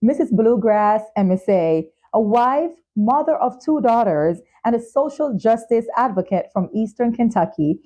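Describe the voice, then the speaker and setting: A woman hosting a talk show, presenting in a measured, carefully enunciated way. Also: on the high side, lively intonation, mellow. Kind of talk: scripted show introduction and sponsor reads, interviewing.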